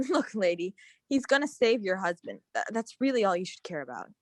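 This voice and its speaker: A woman acts a young female paramedic in a drama table read. She is blunt and teasing, with very lively intonation in a dry space. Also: mid-range voice, moderate pace, no room sound, natural voice. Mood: exasperated. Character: sassy, blunt young female emergency medical technician. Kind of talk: screenplay table read acting.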